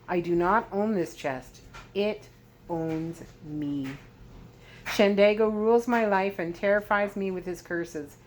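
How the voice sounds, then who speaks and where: A woman's voice: mid-range voice, slow pace, natural voice, rough recording. Storybook role: aged sorcerer man, performed by a woman, intense, imploring delivery, slight background noise. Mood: fearful, hopeful. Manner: earnest, pleading, dramatic. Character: frightened old Chaldean sorcerer, desperate, pleading, emotional man.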